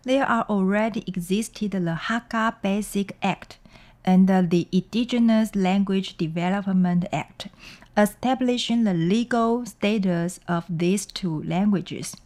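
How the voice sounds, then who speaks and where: Woman researcher explaining deliberately. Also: medium pitch, a little mellow. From Taiwan. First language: Mandarin. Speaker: language researcher. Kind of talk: interview answers.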